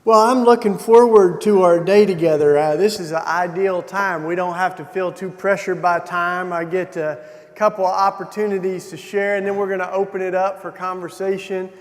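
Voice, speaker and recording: A man from Tennessee with a Southern accent talks to a church crowd in a loose, self-deprecating way, stumbling over restarts and fillers. His voice sits high, and the sound rings with long reverb from a large hall. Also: steady tone, moderate pace, a little hiss or hum. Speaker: keynote speaker. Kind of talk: informal speech to a church gathering.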